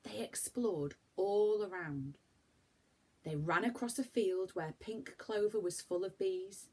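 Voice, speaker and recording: A woman reading a children's story aloud in an expressive, deliberate storytelling manner. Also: medium pitch.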